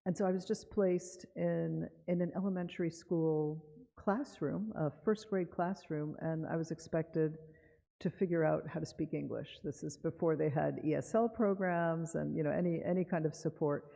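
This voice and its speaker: A woman from Cuba giving an unhurried, conversational author talk in a synagogue chapel, reading a poem aloud and often pausing with fillers. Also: sits low, fairly even intonation, gravelly, warm tone. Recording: dull live broadcast with long reverb.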